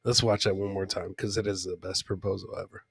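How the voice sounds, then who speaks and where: A man's voice: low-pitched, level tone, a little fast, creaky, background noise. A man hosting a comedy podcast, casually commenting on funny clips in a folksy, joking way and repeating his lines for effect. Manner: laughs often while talking.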